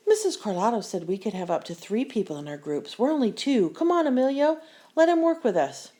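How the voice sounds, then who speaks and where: A woman voicing a friendly, gentle schoolboy with an earnest, casual way of talking in a children's storybook. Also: fairly high pitch, sing-song, brisk, pitched above the performer's own voice. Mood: pleading. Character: friendly, gentle new schoolboy, fair-minded.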